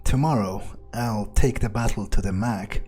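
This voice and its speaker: A man roleplaying a cynical, foul-mouthed vampire in a video game, thinking aloud in a halting, brooding way. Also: lowish voice, a little mellow, lightly acted. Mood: resolved. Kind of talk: improvised in-character game roleplay.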